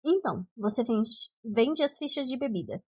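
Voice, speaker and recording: A woman's voice: fairly high pitch, expressive, moderate pace, darkish tone, breathy edge. A Brazilian woman reading the dialogue of a shy, nervous young man who banters cheekily, in an audiobook. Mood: cheeky, curious.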